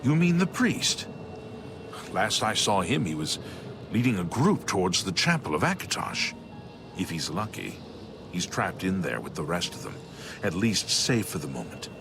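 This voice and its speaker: An actor playing a weary but determined city guard captain in a fantasy video game, commanding and exasperated. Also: male voice, deep voice, big pitch swings, normal speed, music in the background. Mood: grim resolve.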